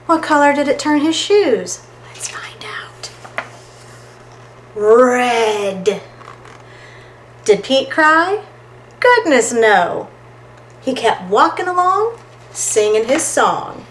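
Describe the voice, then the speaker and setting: A woman reading a children's picture book aloud in an expressive, deliberate, singsong storytime manner. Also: sits high, slight background noise. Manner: expressive, deliberate, singsong delivery with dramatic exclamations.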